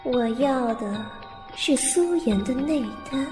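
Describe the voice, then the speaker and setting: A woman playing a cold, calculating bodiless spirit in a Chinese costume audio drama, speaking in a deliberate, ominous way. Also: high voice, lively intonation, a little mellow. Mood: cold bargaining. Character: cold, calculating female spirit without a body. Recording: cavernous reverb effect over music.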